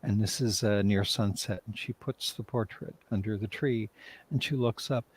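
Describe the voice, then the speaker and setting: A man talking casually on a livestream video call as a writer and director, telling things in a hesitant, halting way. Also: on the low side, slightly rough. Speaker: writer and director, interview guest.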